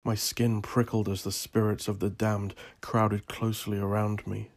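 Low, gravelly man narrating darkly. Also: little pitch movement. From Northern Ireland. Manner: brooding, solemn, theatrical, deliberate. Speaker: author narrating own story. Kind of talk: scripted dramatic narration.

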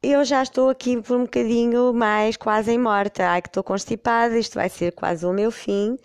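A middle-aged woman with a European Portuguese accent hosts her podcast while stuffy with a cold. She rambles and corrects herself with amusement as she tells a personal story. Her voice is smooth and clear, recorded close and dry. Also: on the high side, steady tone, normal speed, dry recording. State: congested with a cold. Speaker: podcast host.

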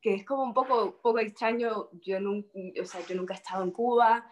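A woman's voice: fairly high pitch, a little fast, muffled recording. A young woman from Spain, a guest in an interview, who talks casually and hesitates, repeating words as she thinks.

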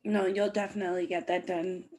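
A woman's voice: on the low side, flat delivery, a little fast, mellow. A woman hosting a casual interview, speaking in a slangy, encouraging way that hypes up her guest. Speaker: interview host.

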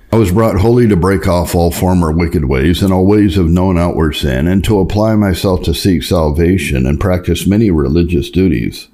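A man with a very low voice reads a scripted religious memoir. His delivery is solemn and formal, with measured phrasing suited to an audiobook of old devotional writing. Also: a little mellow. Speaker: audiobook reader. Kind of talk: scripted reading of a Puritan memoir.

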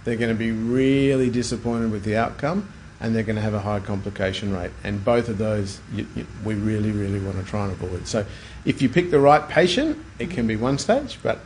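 A man's voice: lowish voice, expressive, brisk, few pauses, darkish tone, a little hiss or hum, low-quality recording. A middle-aged man with an Australian accent, chatting as an interview guest in an unassuming, hesitant way. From Brisbane, Australia. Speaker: guest, plastic surgeon. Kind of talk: casual interview chat.

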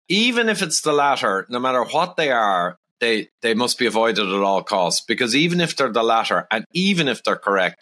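A middle-aged Irish man speaks calmly and with measure, but his pitch moves a lot and his tone is bright and forceful. He sounds reasonable and sensible throughout. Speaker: podcast guest. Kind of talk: casual podcast conversation.

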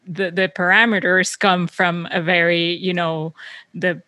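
A woman hosting an interview, warmly engaged and affirming, searching for words as she frames a question. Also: fairly low pitch, flat delivery, measured pace, dry recording. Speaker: interviewer, host. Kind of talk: conversational interview.

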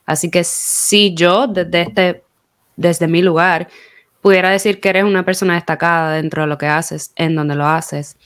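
A woman with a Puerto Rican accent speaks in a fairly low, smooth and resonant voice. She interviews her guest with chatty, probing questions. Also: fairly even intonation, unhurried, a bit bright. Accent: Puerto Rican Spanish. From Puerto Rico. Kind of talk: conversational interview.

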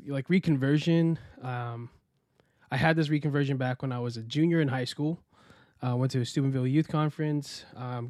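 A man's voice: medium pitch, no echo. Young man, podcast guest, rambling, casual, dry close sound. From United States. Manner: relaxed, rambling, many fillers and restarts. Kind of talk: casual interview chat.

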